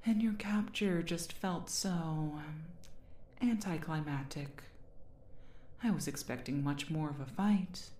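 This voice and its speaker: Low, haughty demon queen, acted by a woman. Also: dark tone, roomy sound. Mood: mocking disdain. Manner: imperious, condescending, theatrical, drawn-out. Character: haughty demon queen, menacing yet teasing captor. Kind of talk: ASMR roleplay acting.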